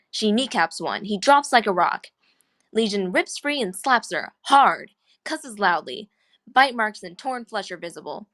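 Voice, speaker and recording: Woman narrator reading script action lines at a table read, mid pitched voice. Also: a bit bright.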